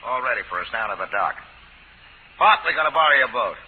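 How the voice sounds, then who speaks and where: Casting: a cocky, threatening mob boss, very animated delivery, slangy orders, on a tinny old radio recording. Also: male voice, medium pitch, fairly quick, husky. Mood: menacing. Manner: tough slangy talk, barked threats. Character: menacing, boastful American gangster boss giving orders. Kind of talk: 1940s radio drama acting. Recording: lo-fi 1940s radio broadcast.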